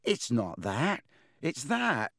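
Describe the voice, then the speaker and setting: A man's voice: mid-range voice, wildly swooping pitch, slow, creaky, a bit bright, dry recording, clean studio sound, heavily acted. A man voicing a dismayed, faint-hearted schoolboy with an uneasy manner in a storybook reading.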